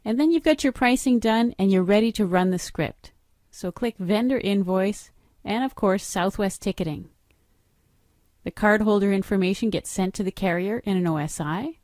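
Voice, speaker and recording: A woman's voice: medium pitch, moderate pace, a little mellow, dry recording, clean studio sound. A middle-aged woman narrating a screen-recorded training walkthrough, explaining each step in a matter-of-fact instructional way. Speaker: software trainer.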